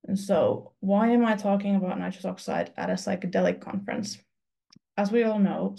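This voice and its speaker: A young woman from Finland presents her research over a webcam, speaking low with a gravelly, creaky edge. Her tone is mellow and dark, and she explains things earnestly with ums and restarts. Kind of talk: conference talk over slides. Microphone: video call webcam.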